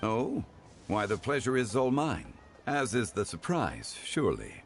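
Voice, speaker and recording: An actor playing a refined, courteous gentleman in a video game, speaking formally and eloquently with calm dignity. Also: medium pitch, over music. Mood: warm courtesy. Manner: formal, eloquent, measured phrasing.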